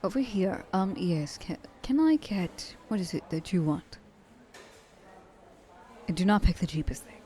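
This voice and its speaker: A woman's voice: lowish voice, animated, unhurried, long pauses, mellow, a little hiss or hum. A woman playing a wealthy, thousand-year-old vampire in an ASMR roleplay, speaking to the listener in a genteel, courteous yet condescending manner. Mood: generous, snobbish.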